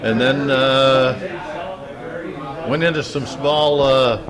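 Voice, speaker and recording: An older man answering interview questions in a casual, halting way as he searches his memory. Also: medium pitch. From upstate New York, United States. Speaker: interviewee, retired plant's former engineer. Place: indoor social gathering. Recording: busy room with crowd chatter.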